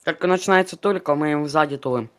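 Man, higher-pitched, bossy game squad leader, repeats commands, swears, gunfire behind. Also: fast talker, fairly bright tone. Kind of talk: in-game voice chat callouts.

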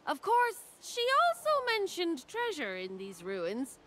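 A woman's voice: high voice, wildly swooping pitch, slow, fairly bright tone, full character voice. A woman playing a breezy, teasing treasure hunter in a video game, with a wry, playful delivery. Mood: breezy, scheming. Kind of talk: scripted game voice acting.

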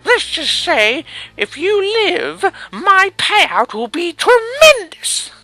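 A woman's voice: very high voice, very animated, takes it slowly, sharp tone, dry recording, faint music behind, heavily acted. A woman voicing a pushy, cranky old hag in a comic fantasy game dub, bossy and drawling. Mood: cranky, greedy.

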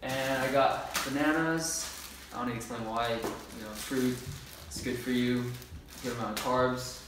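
A young man in his early twenties speaks casually and informally as a fitness vlogger. His voice sits at an average pitch, picked up from a distance in a very echoey room with faint noise behind it. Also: steady tone, a little slow. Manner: laid-back, informal talk with slang. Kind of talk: casual grocery haul vlog. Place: bare-walled room indoors. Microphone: camera a few metres away.

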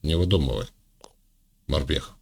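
A man from Russia hosting a chat, needling and mocking the person he talks to in a deadpan way. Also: very deep voice, unhurried, vocal fry. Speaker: host. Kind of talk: mocking video-call chat.

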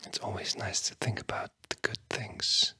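A tired-sounding ASMR host whispering a bedtime talk in a hushed, soothing, breathy way with long pauses. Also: dry recording.

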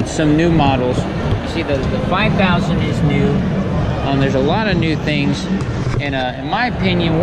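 A young man vlogging casually from a busy indoor expo hall, chatty and easygoing, talking into a handheld camera. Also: a little high. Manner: chatty, easygoing, says "y'all" and "I gotcha". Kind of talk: casual trade show vlog. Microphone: handheld camera mic. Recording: noisy crowded hall. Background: loud crowd chatter.

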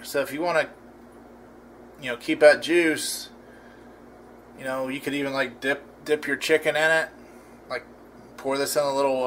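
A man hosting a casual home cooking demo in his kitchen, talking in a relaxed, rambling way with lots of fillers and restarts. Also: medium pitch, slight background noise. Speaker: cooking channel host.

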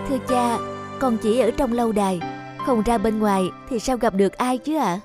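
A woman voicing a gentle, lovestruck young princess in a cartoon fairy tale, earnest and dramatic. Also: on the high side, normal speed, music in the background, natural voice. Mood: demure.

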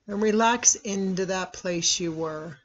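Meditation guide, medium pitch, very unhurried, measured instructional delivery with long pauses, muffled lo-fi sound. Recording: dull, lo-fi recording.

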